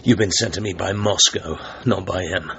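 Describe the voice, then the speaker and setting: An actor playing a cool, wary British secret agent in a radio drama, terse, dry and controlled. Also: male voice, low voice, moderate pace, gravelly, pushed and bright, heavily acted. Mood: grimly realizing. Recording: slightly noisy, muffled radio broadcast recording.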